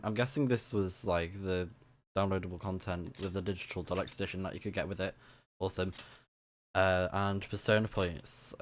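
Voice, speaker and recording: Low-voiced young male game streamer. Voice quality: slightly scratchy morning voice. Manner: enthusiastic, thinking aloud. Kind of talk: casual live Let's Play commentary. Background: game music.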